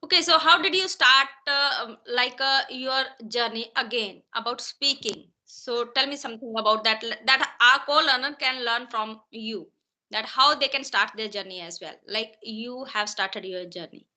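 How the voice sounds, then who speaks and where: A woman's voice: on the high side, moderate pace, sharp tone. A woman hosting a casual conversation practice chat, with many fillers. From India. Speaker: host.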